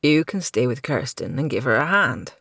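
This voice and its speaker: A reader voicing a weary court steward who speaks briskly and sounds exasperated. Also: male voice, mid-range voice, big pitch swings, vocal fry, pushed and bright, no echo, clean studio sound, full character voice.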